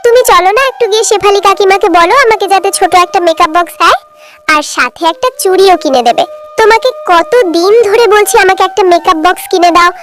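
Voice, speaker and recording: A woman's voice: extremely high pitch, quick pace, music in the background. A woman voicing a wistful, kind little girl in a children's cartoon, with a pleading, childlike manner.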